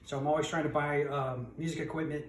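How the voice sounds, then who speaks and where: A man's voice: on the high side, little pitch movement, fast. A middle-aged man giving a casual, unscripted walkthrough of a project as a vlogger, rambling along in a folksy way. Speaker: vlogger reselling auction finds. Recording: roomy, distant mic, background noise.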